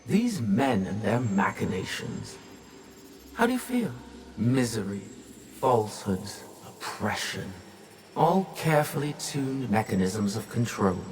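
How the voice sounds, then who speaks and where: An actor playing a calm, cryptic artificial guide in a video game, measured, solemn and resolute. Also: male voice, medium pitch, a little hiss or hum. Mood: solemn, probing. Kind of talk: video game cutscene monologue.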